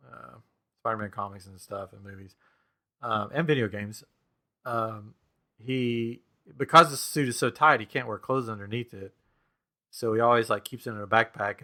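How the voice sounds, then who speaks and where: A man's voice: on the low side, sharp tone. A man hosting a podcast, talking in a casual, conversational way about geeky things. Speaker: podcast host. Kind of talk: casual chat about comics and video games.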